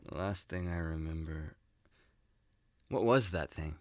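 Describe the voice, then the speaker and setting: A man playing an earnest, loyal young man in an animated adventure. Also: deep voice, unhurried, no room sound. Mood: urgent, puzzled.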